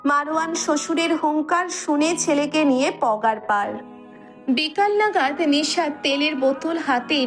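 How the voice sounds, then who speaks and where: A woman's voice: high-pitched, a bit bright. A woman reading a scripted story as its narrator.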